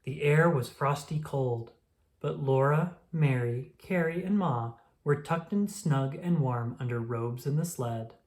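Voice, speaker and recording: Gentle storybook reader. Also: medium pitch, a little slow. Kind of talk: reading a children's picture book aloud.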